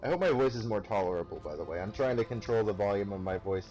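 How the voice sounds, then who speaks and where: A man's voice: fairly low pitch, animated, fast talker, darkish tone, faint music behind. A young man giving a sarcastic, profane rant while commenting on gameplay, recorded on a cheap, poor-quality microphone. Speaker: let's play commentator.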